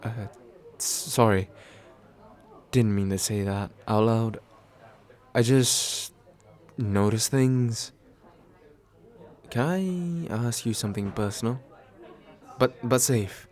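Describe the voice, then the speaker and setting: Casting: male actor as an attentive, shy second-date boyfriend for intimate ASMR audio, lowish pitch, very unhurried, softly halting. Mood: shy, gentle. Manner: halting, gently self-deprecating, with small stammers. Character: tender, nervous, attentive man on a second date.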